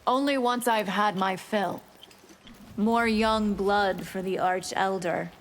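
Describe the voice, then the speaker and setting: A woman's voice: medium pitch, slow pace, a little hiss or hum, lightly acted. A woman playing a predatory, bloodthirsty vampire in a video game, speaking with menace. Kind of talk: video game fight dialogue.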